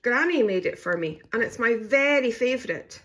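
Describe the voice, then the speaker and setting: A woman voicing an excitable, stubborn little girl rabbit in a children's storybook, with emphatic exclamations. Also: fairly high pitch, moderate pace, pitched above the performer's own voice.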